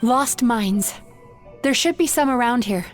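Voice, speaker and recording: An actress playing a calm, caring dream therapist and guide in a video game. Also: female voice, on the high side, unhurried. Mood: guarded, focused. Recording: music behind.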